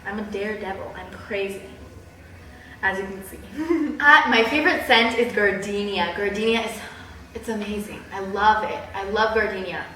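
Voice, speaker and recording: A young woman chatting casually in a personal vlog, with a bubbly, animated, giggly way of exaggerating things. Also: medium pitch, normal speed. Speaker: vlogger. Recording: roomy echo, distant mic, background hiss.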